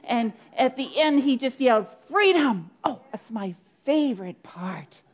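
A woman's voice: fairly high pitch, animated, a little slow, darkish tone. A woman doing a gushing hippie churchgoer. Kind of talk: church comedy sketch.

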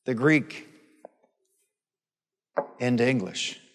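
A man's voice: mid-range voice, expressive, extremely slow, creaky. Older preacher man in a reverberant hall. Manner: folksy, emphatic, repeats phrases for emphasis. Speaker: pastor preaching. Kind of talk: sermon with personal anecdotes and calls for amen. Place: large hall or church, preaching to a congregation. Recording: long hall reverb on live sermon.

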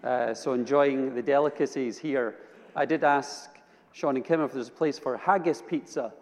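Scottish preacher in a reverberant hall. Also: male voice, medium pitch, normal speed. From Edinburgh, Scotland. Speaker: guest preacher. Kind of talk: personal testimony sermon to a congregation. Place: large indoor church or hall. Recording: reverberant hall, long echo.

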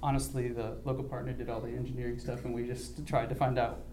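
A young man earnestly telling a church about a mission trip, halting often with ums and restarts. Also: medium pitch, level tone, background noise. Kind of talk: church mission report, casual.

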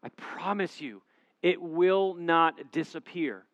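A man's voice: a little high, slow pace, no room sound, lo-fi sound. Emphatic preaching man, dry close sound. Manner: emphatic, repetitive preaching cadence. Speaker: pastor. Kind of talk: sermon. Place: church auditorium before a live congregation.

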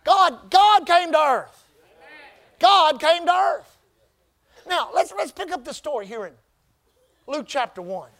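A man's voice: extremely high pitch, lively intonation, creaky, fairly bright tone. A man preaching a sermon as pastor, drawing out key phrases emphatically and prompting the congregation to repeat words back. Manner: call-and-response preaching, emphatic drawn-out phrases.

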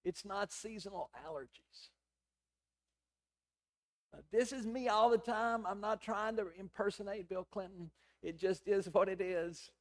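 A middle-aged man with a hoarse, raspy voice, preaching a sermon in a conversational, storytelling way with quoted dialogue. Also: high voice, a little slow. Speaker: pastor.

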